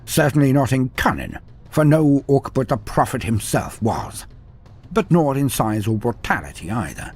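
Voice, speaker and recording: A man's voice: mid-range voice, big pitch swings, moderate pace, breathy edge, faint music behind. A man giving scripted dramatic narration of fantasy war lore in a theatrical, wry storybook manner.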